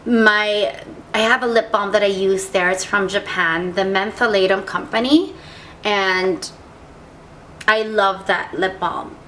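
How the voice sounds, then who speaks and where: A woman's voice: medium pitch, steady tone, slow, fairly bright tone, a little hiss or hum. Congested woman chatting through a beauty haul. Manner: chatty, reads labels aloud with small restarts. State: congested, under the weather. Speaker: beauty vlogger. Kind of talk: casual product haul review.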